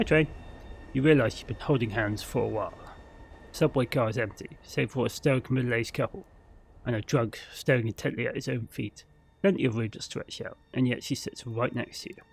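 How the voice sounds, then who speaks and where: A man's voice: mid-range voice, creaky, husky. A man reading a visual novel aloud as a narrator, in a dramatic storytelling style with the odd stumble over words. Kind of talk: scripted narration read aloud.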